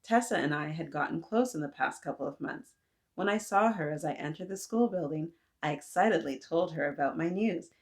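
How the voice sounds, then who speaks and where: A woman reading a children's storybook aloud, gently and expressively, with little asides to her young listeners. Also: low voice, brisk. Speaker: children's book reader.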